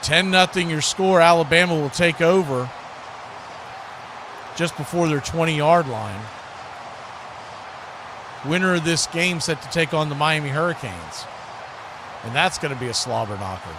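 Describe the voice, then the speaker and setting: Higher-voiced American football commentator, noisy background. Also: male voice, unhurried, long pauses. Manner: conversational, folksy, rises to excited shouts on big plays. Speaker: play-by-play sports commentator and stream host. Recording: clearly noisy live stream background.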